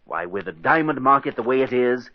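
An actor playing a cocky, impatient diamond smuggler in an insistent, persuasive old-time radio drama style. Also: male voice, medium pitch, lively intonation, fairly quick, no room sound, lightly acted. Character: scheming smooth-talking male diamond smuggler, impatient and cocky. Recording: dull, lo-fi old radio recording.

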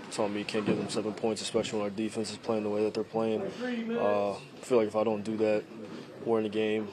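Young man, low and monotone, halting postgame answers into close handheld mics, press crowd murmuring behind. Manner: halting, repeats phrases, many fillers, subdued. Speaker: quarterback at a postgame press conference. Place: locker room. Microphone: handheld reporter mics at close range.

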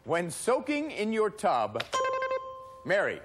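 A middle-aged man hosting a studio game show, talking in a brisk, cheerful, emphatic way. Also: sits high, wildly swooping pitch. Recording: broadcast with loud music and studio audience.

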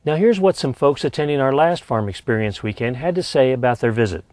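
A man's voice: medium pitch, animated, brisk, darkish tone, dry recording. A middle-aged man reading scripted promotional narration as a spokesman for a community. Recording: music behind the voice.

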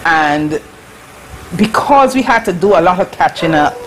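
A woman from Barbados with a Barbadian accent recalls her past in an unhurried, reflective voice, pausing often with fillers. She speaks over a webcam from her living room, with a faint hiss underneath. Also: on the low side, animated, low-quality recording. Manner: slow, reflective, halting with fillers. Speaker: interview guest. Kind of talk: casual recollection. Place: living room at home, indoors. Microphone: webcam on a video call.

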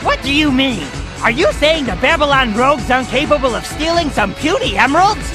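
A man's voice: very high-pitched, big pitch swings, a little fast, over music. An actor playing a cocky young male hawk who leads a gang and talks in a brash, impatient way.

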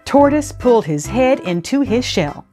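A middle-aged woman hosting storytime, reading aloud to children in an expressive, deliberate, teacherly way. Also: a little high, faint music behind. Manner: animated, deliberate teacherly delivery. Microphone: lapel mic.

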